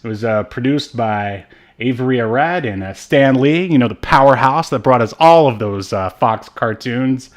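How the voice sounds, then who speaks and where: A man's voice: medium pitch, expressive, normal speed. A man co-hosting a casual movie review podcast, rambling and hesitant with frequent asides. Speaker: podcast co-host.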